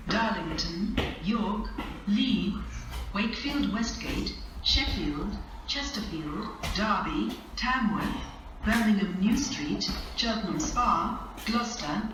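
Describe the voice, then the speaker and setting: Echoey, slow female station announcer. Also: medium pitch, animated, far from the mic. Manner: measured, list-like delivery in separate phrases. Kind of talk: automated scripted train announcements. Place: railway station platform, over public address speakers. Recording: echoey station PA from afar, faint wind noise.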